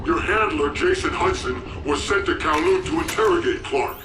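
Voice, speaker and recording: A man's voice: fairly high pitch, normal speed, background noise, natural voice. A man playing a cold, insistent military intelligence interrogator in a video game, clipped and methodical. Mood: cold, probing. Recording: echoey, distant voice over music.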